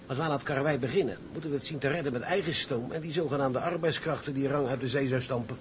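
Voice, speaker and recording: Guy as grumbly doubtful sailor, old-school radio drama style, average pitch, rumbly noisy background. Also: fast talker, natural voice. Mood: gloomy. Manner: doubting, argumentative. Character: sceptical seaman, gloomy about a wreck salvage job. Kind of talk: Dutch radio play acting.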